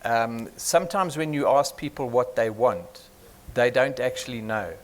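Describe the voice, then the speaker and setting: A man's voice: mid-range voice, a little slow, slight background noise. A man on a panel answering an audience member in a direct, conversational way.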